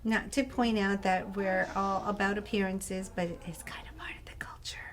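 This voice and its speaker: A woman's voice: medium pitch, little pitch movement, moderate pace. Chatty enthusiastic woman host. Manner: chatty, enthusiastic, laughs often. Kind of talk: casual interview chat.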